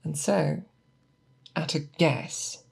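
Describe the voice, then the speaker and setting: A woman playing a seasoned, patient monster hunter in an ASMR roleplay, speaking softly and thoughtfully to an apprentice, close to the mic. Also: sits low, vocal fry, husky, heavily acted. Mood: musing, grave. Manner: deliberate, hesitant, thoughtful explaining. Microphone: close binaural microphone.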